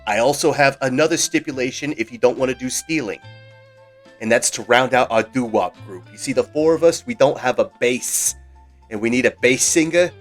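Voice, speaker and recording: A brash, bossy gang boss, as a man puts him on for a tabletop game, all swagger and deal-making. He talks at an ordinary pitch for a man, with faint music playing behind him. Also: brisk, close to an everyday voice. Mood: bargaining. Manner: swaggering, bossy, wheeler-dealer talk. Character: brash middle-aged male mob-style gang boss. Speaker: game master.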